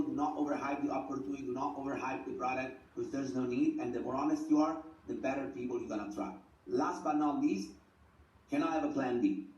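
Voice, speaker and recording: A man's voice: a little high, darkish tone, roomy sound, distant mic, muffled recording. A man from Italy with an Italian accent giving a motivational talk on network marketing, earnest and direct, often checking in with "right?". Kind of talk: motivational talk, listing tips.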